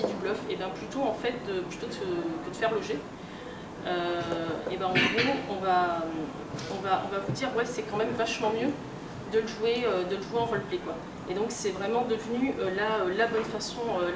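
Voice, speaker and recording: A woman giving an informal conference lecture, explaining in a colloquial, halting way with frequent hesitations and repeated words. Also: medium pitch. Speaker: guest speaker, researcher and game publisher. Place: room at a convention, recorded from afar. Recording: distant roomy mic, loud background noise. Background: loud ambient noise.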